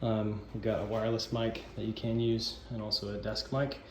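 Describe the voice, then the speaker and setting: A man giving an informal instructional walkthrough of equipment, explaining in a halting, improvised way. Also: fairly low pitch, flat delivery. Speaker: sound system installer giving a walkthrough. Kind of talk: informal instructional demo narration. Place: indoor camp office. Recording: echoey room, noisy ambient background.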